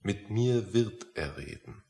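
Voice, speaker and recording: A man's voice: fairly low pitch, lively intonation, creaky, dark tone, full character voice. An actor playing a blunt, self-important and faintly menacing male visitor in a satirical radio play. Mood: haughty, menacing. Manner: blunt, deliberate, haughty.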